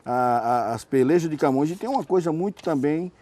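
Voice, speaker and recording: A man's voice: a little high, expressive, fairly quick, dark tone, no room sound. A man hosting a conversational TV interview, rambling and often repeating his phrases. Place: TV studio.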